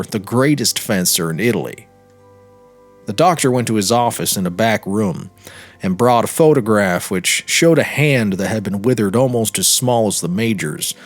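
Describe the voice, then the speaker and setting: Measured male story narrator over soft music. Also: fairly low pitch. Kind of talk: dramatic literary story reading.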